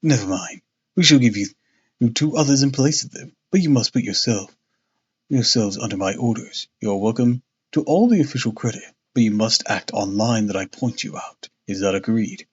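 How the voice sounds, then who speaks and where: Storybook lead: man playing assured, commanding gentleman sleuth with dry amusement, slightly muffled audio. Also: medium pitch, moderate pace, slightly rough, lightly acted. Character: famous gentleman detective, confident, amused, commanding.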